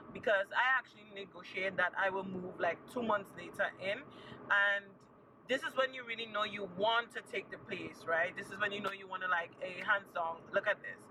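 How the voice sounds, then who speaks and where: A young woman from Trinidad and Tobago, chatting upbeat to camera and repeating words for emphasis as she gives encouraging advice. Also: mid-range voice. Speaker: vlogger. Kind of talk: casual chat to camera. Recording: distant mic with loud background noise.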